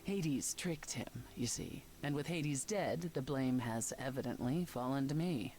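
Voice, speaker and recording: An actress voicing a proud, dry exiled queen of the underworld in a video game, measured and haughty. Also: rumbling low, a little mellow, a little hiss or hum. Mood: wry, bitter. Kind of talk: dramatic video game voice acting.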